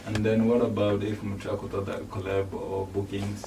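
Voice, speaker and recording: A man's voice: on the low side, flat delivery, brisk, dark tone. A man with a South African accent hosting a casual interview in a laid-back, slangy way. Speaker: host. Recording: distant mic with faint noise.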